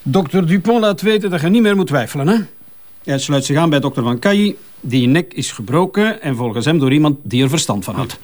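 An actor playing a jovial, bantering crime-scene investigator with a Flemish accent. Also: male voice, fairly high pitch, lively intonation, a little fast, darkish tone, lightly acted.

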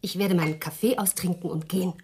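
A woman's voice: fairly low pitch, fast, noisy background, lightly acted. An actress playing a friendly, cheerful young woman visitor in a radio play, polite and light in manner. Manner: polite, light, laughing easily. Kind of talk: 1980s German radio play acting.

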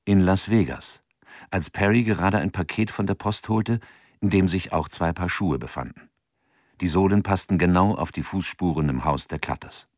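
A man narrating a radio drama in a measured, sober, scripted documentary style. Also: low-pitched, no echo, studio quality.

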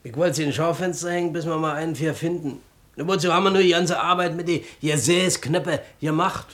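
Male workshop assistant, played by an actor: higher-pitched, unpolished, mildly grumbling, 1950s radio drama. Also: fairly even intonation, brisk, a bit bright, lightly acted. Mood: plain, grumbling. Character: plain-spoken male tailor's assistant.

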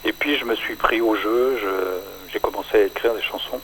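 An older man, a singer-songwriter, talking in a relaxed radio interview, hesitant and full of fillers. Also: on the low side, a little hiss or hum, poor audio. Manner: hesitant, many pauses and fillers.

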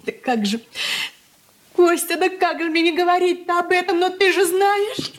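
An actress playing a timid, lovelorn young woman, halting and tearfully pleading, in a Soviet radio play. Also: extremely high pitch. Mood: hurt, pleading.

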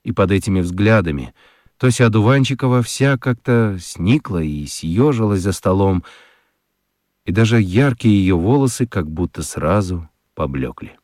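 A man narrating a children's radio play as an expressive storyteller, with deliberate dramatic pauses. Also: deep voice, dry recording, clean studio sound. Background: prominent music.

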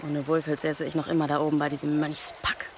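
A woman playing a fearless young archer in a German audio drama, blunt, brisk and defiant. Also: deep voice, monotone, noisy background, natural voice. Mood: reflective, defiant.

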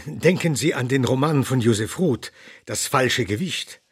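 An elderly man of learning answers in a radio interview with a husky, worn voice. He takes his time and phrases everything formally and reflectively. The sound is dry and close. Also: mid-range voice, expressive, no echo, heavily acted. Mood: grave, reflective. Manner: deliberate, measured, formal. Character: elderly learned doctor and musician, reflective, resolute.

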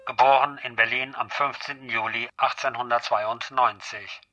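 A man's voice: mid-range voice, fairly even intonation, moderate pace, music in the background. A man formally reading out scripted biographical dates. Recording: dull, lo-fi radio-like sound.

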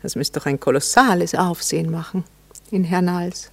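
A woman's voice: on the low side, normal speed, creaky. A teasing, worldly society lady with a tinge. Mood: gushing, mocking. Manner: mocking, coquettish, insistent. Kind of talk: classic German radio play acting.

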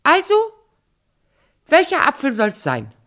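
A woman's voice: sits high, huge pitch swings, fairly bright tone, full character voice. Sly, wildly swooping evil queen. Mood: coaxing, sly. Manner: theatrical, drawn-out, wheedling, falsely caring. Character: sly evil queen posing as fruit seller. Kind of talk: fairy-tale radio play acting.

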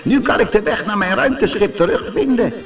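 A man's voice: sits high, expressive, brisk, far from the mic, soft background music, voice pushed up. A man voicing a battered, lost space rocket with a plaintive manner, in a children's storybook. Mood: grateful. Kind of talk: children's audiobook character voice.

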